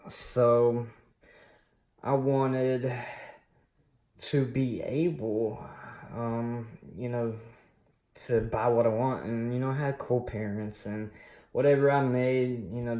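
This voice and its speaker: A man in his late thirties telling his story on a coin collecting vlog, rambling and relaxed with many pauses and fillers. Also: mid-range voice, roomy sound. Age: late 30s. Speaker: coin collecting channel host. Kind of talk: casual personal storytelling while unboxing.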